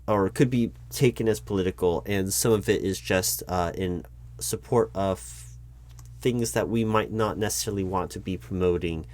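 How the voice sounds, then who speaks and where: A man's voice: on the low side, a little mellow. A man playing a recruiting manager in a one-sided job interview roleplay, polite and awkward, stumbling through ums and restarts. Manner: hesitant, halting, full of ums and restarts; polite, awkward, stiffly corporate.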